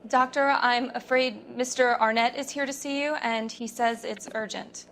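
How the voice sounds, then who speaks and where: An actress playing a polite, anxious receptionist at a doctor's office in a video game. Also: female voice, on the high side, fairly even intonation, moderate pace, vocal fry. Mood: apologetic, nervous. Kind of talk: video game drama acting. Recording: loud background noise and clicks.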